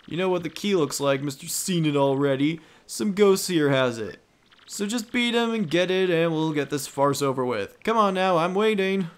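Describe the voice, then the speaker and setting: A man voicing an impatient, grumpy creature trapped in a chest in a video game, sarcastic and exasperated. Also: high-pitched. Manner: exasperated, sardonic.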